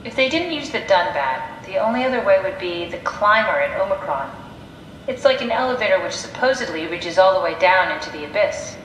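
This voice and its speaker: A woman's voice: medium pitch, expressive, a little fast, a bit bright, slight background noise, natural voice. An actress playing a curious, pragmatic woman scientist in a video game, talking in an analytical, matter-of-fact, conversational way. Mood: brisk, curious. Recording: echoey room sound with music behind.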